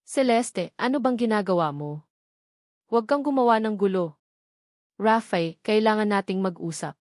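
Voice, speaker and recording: A woman voicing a calm, controlling male schemer in a storybook romance, speaking in a cautioning manner. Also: medium pitch, moderate pace, no room sound, studio quality, natural voice. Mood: cool warning.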